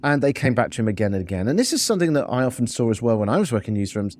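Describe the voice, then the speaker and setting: A man's voice: mid-range voice, very animated, very fast, a little mellow. A middle-aged man hosting a solo podcast, speaking in a reflective, conversational way and sharing personal anecdotes. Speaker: podcast host. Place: hotel room.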